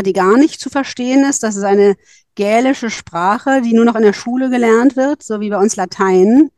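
A woman advising on school exchanges speaks at length and thinks aloud as she explains. Her voice sits at an ordinary pitch and sounds dry and close, with no room echo. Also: darkish tone. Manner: thoughtful, explains at length with fillers. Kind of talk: informative podcast talk.

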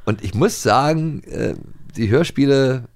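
A man's voice: mid-range voice, unhurried, darkish tone, no echo. A middle-aged man co-hosting a podcast in casual chat, playfully grumbling along. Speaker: co-host of a radio play podcast. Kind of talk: casual chatty podcast talk.

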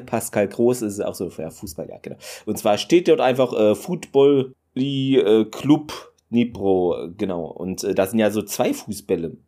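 A man's voice: lowish voice, animated, unhurried, few pauses, dark tone. A man hosting a solo football podcast, talking in a loose, rambling way with many fillers and enthusiastic asides. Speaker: podcast host.

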